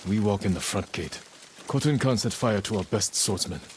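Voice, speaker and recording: An actor voicing a grave, terse, resolute samurai warrior bent on vengeance in a cinematic video game. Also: male voice, lowish voice, normal speed, slight background noise, natural voice. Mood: grimly determined.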